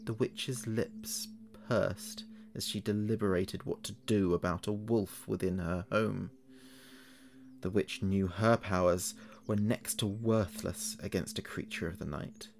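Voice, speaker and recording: A man narrating a scripted horror story in a measured, dramatic way. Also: low voice, fairly even intonation, creaky, darkish tone.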